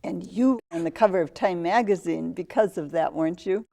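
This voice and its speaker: Mellow-voiced woman hosting an interview. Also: lowish voice, a little fast, vocal fry. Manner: brief prompting questions, affirming. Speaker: talk show host. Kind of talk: interviewing a guest.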